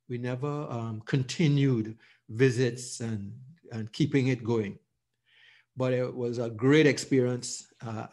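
Middle aged trinidad guy w/ trinidadian accent, medium pitch, chatting casually about himself, kinda halting storyteller. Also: a little mellow. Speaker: host, beekeeper. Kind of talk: casual self-introduction over slides.

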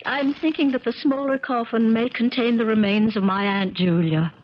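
An actress playing a loyal, firm niece with a deliberate manner in a 1970s radio drama. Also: female voice, fairly high pitch, steady tone, natural voice. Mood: defiant, firm. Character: loyal, firm young niece guarding her uncle. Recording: crackly, dull 1970s radio recording.

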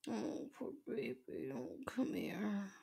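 A woman playing a tender, doting, dominant girlfriend in an ASMR roleplay, speaking softly and gently with breathy whispers, close to the microphone. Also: mid-range voice, big pitch swings, exaggerated voice. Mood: concerned, soothing. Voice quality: soft, breathy, often whispering. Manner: slow, gentle, babying. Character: tender, doting dominant girlfriend comforting her sick partner.

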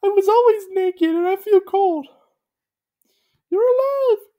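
A woman voicing a small, frightened clay boy in a high, squeaky put-on voice. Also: slow pace, dark tone.

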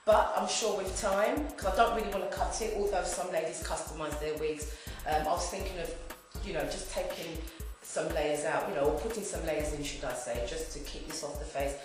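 A woman, around her forties, chatting to camera in a rambling, chatty way, her voice a little hoarse from a throat infection. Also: sits low, fairly quick. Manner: chatty and rambling, lively gestures. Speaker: wig review vlogger. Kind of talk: casual chat to camera. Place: home room indoors. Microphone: camera at mid distance. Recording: very echoey room with faint music.

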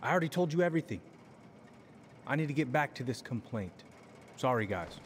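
A man's voice: medium pitch, big pitch swings, brisk, slight background noise, lightly acted. An actor playing a stern, weary, middle-aged male police chief with an American accent in a video game, speaking firmly and curtly. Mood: harried, firm. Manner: firm, curt. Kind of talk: video game dialogue acting.

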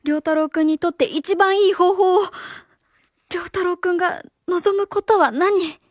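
A woman's voice: very high voice, steady tone, unhurried, dry recording, clean studio sound. A woman playing a flustered, kindhearted young schoolteacher in a radio drama. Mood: anxious, resolving. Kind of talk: Japanese radio drama acting.

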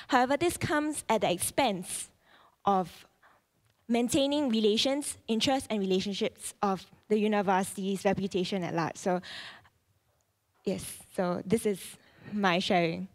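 A young adult woman from Malaysia, a student, speaking to a public forum from a panel. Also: mid-range voice. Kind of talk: prepared remarks at a public forum. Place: lecture hall stage, seated on a panel. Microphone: handheld microphone.

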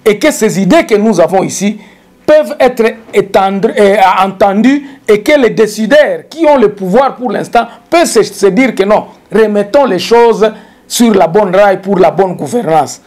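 A man's voice: high-pitched, lively intonation, normal speed, a little mellow. A middle-aged man, hosting a solo political commentary to camera in a small studio, talking emphatically and repeating words as he searches for them. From DR Congo. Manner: emphatic, gesturing, stammering repetitions. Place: small studio with dark backdrop.

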